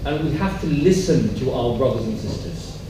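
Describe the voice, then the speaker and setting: A man's voice: medium pitch, lively intonation, moderate pace. A man giving an earnest, emphatic panel answer at a community talk, repeating his key points. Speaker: panel speaker. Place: large echoey room at a public event. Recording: very echoey hall, distant mic, faint noise.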